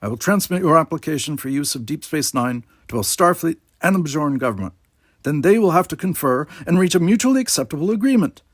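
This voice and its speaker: Guy doing a sci-fi audiobook commander, husky, forceful and higher pitched, anger held tight. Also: lively intonation, no echo, studio quality. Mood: composed. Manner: measured, forceful, emphatic. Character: commanding male station commander, controlled anger, deadly calm.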